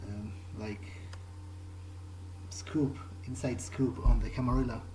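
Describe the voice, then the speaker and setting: A man of middling pitch improvises in character as he plays a game. He speaks politely and haltingly, tripping on words, with clear noisy ambience behind him. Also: fairly even intonation. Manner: hesitant, polite, stumbling over words. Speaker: gaming video creator playing in character.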